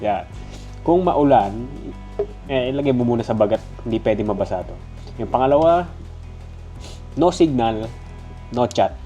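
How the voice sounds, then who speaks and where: Filipino male reviewer, mid-pitched but on the mellow side, conversational, congested nose, faint music underneath. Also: expressive, a little slow, long pauses. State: stuffy nose. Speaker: product reviewer. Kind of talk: casual, conversational gadget review.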